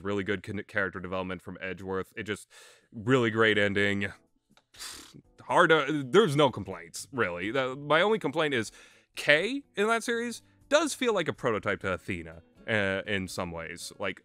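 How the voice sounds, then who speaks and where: Bright-voiced man rambling about games. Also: fairly low pitch, no room sound. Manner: conversational, opinionated, emphatic. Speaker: video game reviewer. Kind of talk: casual rambling commentary. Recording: music playing under the voice. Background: background music.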